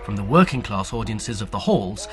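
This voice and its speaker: A man reading scripted documentary narration in a measured, formal, lecturing manner. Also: lowish voice, lively intonation, music in the background.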